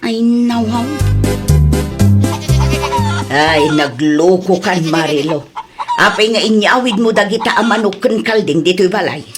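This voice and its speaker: A woman with an Ilocano Filipino accent playing a nagging, sharp-tongued village wife and mother in a comedy audio drama. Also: mid-range voice, animated, a little slow, pauses often, music in the background, lightly acted. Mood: scolding. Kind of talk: comedy radio drama acting.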